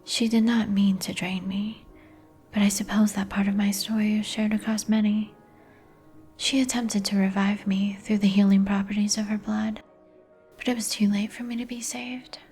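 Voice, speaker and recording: Casting: actress for somber vampire gentlewoman, whispered and even-toned, courteous antique diction, ASMR roleplay over music. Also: mid-range voice, normal speed, lightly acted. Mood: sorrowful. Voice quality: whispered, breathy. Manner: formal, old-fashioned, somber phrasing. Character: melancholy, courteous vampire woman, turned young some 150 years ago. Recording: music behind the voice.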